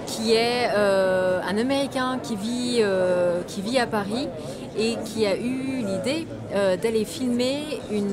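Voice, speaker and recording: A woman in her thirties, an event organizer, explaining casually on camera with many hesitations. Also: medium pitch. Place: crowded cinema lobby indoors. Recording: loud crowd and music behind.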